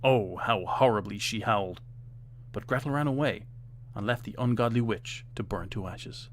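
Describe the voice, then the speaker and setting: A man's voice: on the low side, moderate pace. Male storyteller with faint background noise. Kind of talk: scripted fairytale narration.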